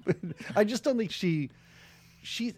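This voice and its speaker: A man's voice: sits high, lively intonation, a little slow, darkish tone, slightly rough. A man with a nasal voice, a chatty and self-deprecating guest on a podcast, laughing at his own jokes. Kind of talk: casual podcast chat.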